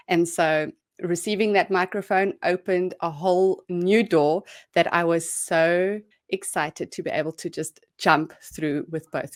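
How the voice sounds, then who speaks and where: A South African woman, a new podcast host, chatting in a warm, slightly nervous way with giggles. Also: lowish voice. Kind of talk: casual podcast chat. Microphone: podcast microphone.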